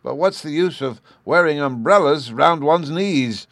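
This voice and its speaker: A man's voice: fairly high pitch, lively intonation, a little slow, vocal fry, a bit bright, dry recording, clean studio sound, voice pushed up, exaggerated voice. A man voicing a stubborn, cheeky little boy who lisps in baby talk, reading a storybook. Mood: matter-of-fact. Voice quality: childish lisp, says oo for you. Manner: insistent, bouncy.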